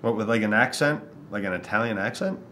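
A man's voice: on the low side, fairly quick, sharp tone. An actor playing a skeptical, dismissive adman in a naturalistic screen comedy. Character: skeptical adman shooting down an idea. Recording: faint background noise.

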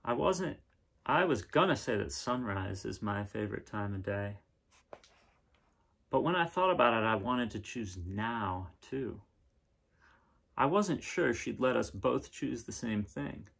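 Husky, creaky-voiced man reading aloud. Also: medium pitch, very animated, unhurried, long pauses, a bit bright. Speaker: reader of children's picture books. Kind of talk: gentle read-aloud storytelling and casual chat. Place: small living room indoors, seen on camera.

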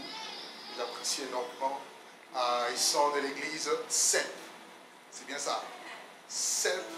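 A man, the host pastor, enthusiastically introducing a guest preacher and his wife on a handheld mic. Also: medium pitch. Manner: warm, enthusiastic introduction with fillers. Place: large church hall, indoors. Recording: echoey church hall, noisy.

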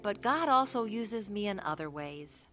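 An actress playing a steadfast middle-aged mother with an American accent, telling her testimony in an earnest, measured way for a radio drama. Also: medium pitch, expressive, bright, forceful tone, faint music behind. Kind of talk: radio drama narration and dialogue.

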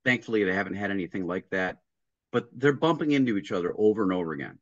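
A man's voice: fairly low pitch, lively intonation, quick pace, dry recording. A middle-aged man on a video call, telling work stories step by step and acting out the dialogue. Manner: explains step by step, acting out dialogue, frequent restarts. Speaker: restaurant consultant guest. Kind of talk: casual interview storytelling. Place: home room with a painting behind him. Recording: dry, muffled video call audio.

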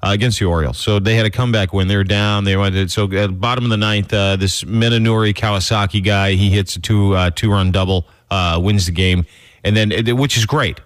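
A man co-hosting a casual morning radio show from a studio, rambling excitedly and laughing as he tells a story. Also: on the low side, fairly quick. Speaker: radio co-host. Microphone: studio microphone.

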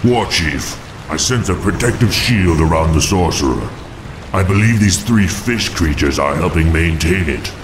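A man's voice: low voice, animated, moderate pace, background noise. A man playing a grave orc seer advising his war chief in a video game. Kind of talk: video game character acting. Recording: long reverb, game ambience behind.

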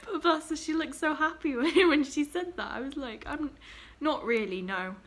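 A woman's voice: high-pitched, moderate pace, fairly bright tone. Giggly young woman chatting to her webcam. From England. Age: young adult. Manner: chatty and giggly, trailing off into fillers. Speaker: vlogger. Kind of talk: casual chat to camera. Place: small bedroom, indoors. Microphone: laptop webcam at desk.

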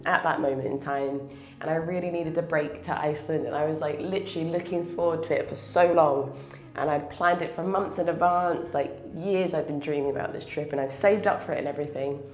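A woman's voice: deep voice, reverberant. Congested woman vlogging with a cold. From Scotland. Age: 30s. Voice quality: slightly nasal, stuffy. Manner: chatty, laughs often. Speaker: travel vlogger. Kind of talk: casual vlog to camera. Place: small wooden cabin, then inside a car. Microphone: clip-on lapel mic.

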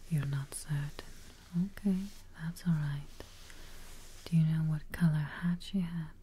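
Young woman, soft breathy ASMR whisper, very mellow and dark, low-pitched, gentle, reassuring, close mic. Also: fairly even intonation. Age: young adult. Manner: gentle, soothing, reassuring, unhurried. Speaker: ASMR roleplayer as caretaking witch. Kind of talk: soft-spoken ASMR roleplay. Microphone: close microphone. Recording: faint background noise, soft ambience.

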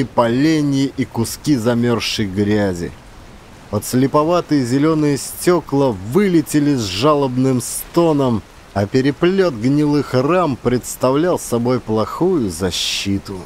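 A man's voice: mid-range voice, a little mellow, a little hiss or hum. A man narrating an audiobook as an actor, with theatrical, emphatic storytelling. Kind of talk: dramatic scripted narration.

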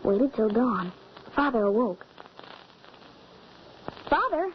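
A woman's voice: on the high side, very animated, slow pace. A woman playing a frightened, grieving young farm boy with trembling emotion in a 1940s radio drama. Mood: anxious, distraught. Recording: muffled, noisy 1940s radio recording.

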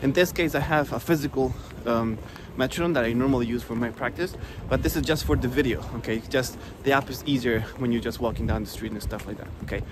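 A man's voice: medium pitch, fast, hardly any pauses, background noise. A man in his thirties giving a casual saxophone lesson while walking outdoors on a rainy street, talking upbeat and chatty into a phone held at arm's length. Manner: chatty, upbeat, says "okay?" often. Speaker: saxophone teacher.

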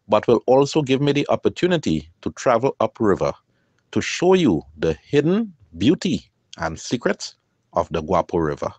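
A middle-aged man narrates in a calm, measured, explanatory way. His low voice rises and falls a good deal from phrase to phrase, and the recording is close and dry with no room sound. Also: no echo. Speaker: kayaker and channel host. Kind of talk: scripted voice-over narration.

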